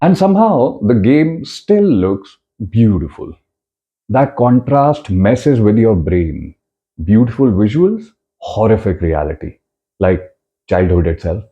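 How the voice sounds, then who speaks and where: A man with an Indian accent narrating a scripted video essay in a dramatic, emphatic way, with deliberate short phrases and switches between two languages. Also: on the low side, very animated, highs cut off.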